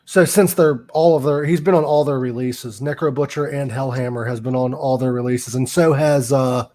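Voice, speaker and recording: A man's voice: medium pitch, steady tone, moderate pace. A man hosting a casual podcast, chatting and correcting details with a fussy, talkative manner. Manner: chatty, fact-correcting, laughs at dark humor. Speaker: podcast host.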